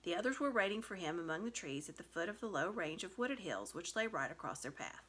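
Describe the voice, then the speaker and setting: Female storybook narrator, lively intonation, bright clear-cut tone, expressive scripted reading. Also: fairly low pitch, fairly quick. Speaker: storybook reader.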